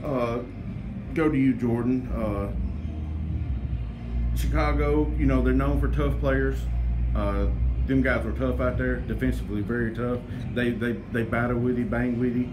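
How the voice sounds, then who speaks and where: A man's voice: mid-range voice, steady tone, a little slow, over music, rough recording. Casual teasing interviewer man. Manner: casual, teasing, many fillers. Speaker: press conference host. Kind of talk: post-game interview questions.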